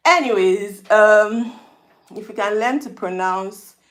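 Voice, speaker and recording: A woman's voice: mid-range voice, roomy sound. A woman from Nigeria with a Nigerian accent, sharing her opinions in a casual, reflective monologue full of rhetorical questions. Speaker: vlogger.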